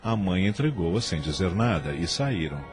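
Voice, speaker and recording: A man's voice: sits low, big pitch swings, moderate pace, over loud music. A man with a Brazilian accent narrating a story in a scripted, dramatic style.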